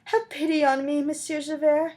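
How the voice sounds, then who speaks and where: A woman's voice: high voice, little pitch movement, normal speed, mellow, voice pushed up, close to an everyday voice. A woman voicing a destitute, desperate mother in a storybook reading, pleading tearfully in an agitated way.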